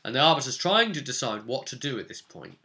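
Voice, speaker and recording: Male game master, bright forward voice, chatty storytelling recap of a fantasy campaign. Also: mid-range voice, big pitch swings, quick pace. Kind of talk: informal storytelling recap to camera.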